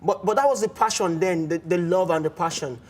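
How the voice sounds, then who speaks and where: A man with a Nigerian accent hosting a casual sports talk show in a studio, animated and often restarting his words. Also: sits high, fairly quick. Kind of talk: casual studio chat with phone-in.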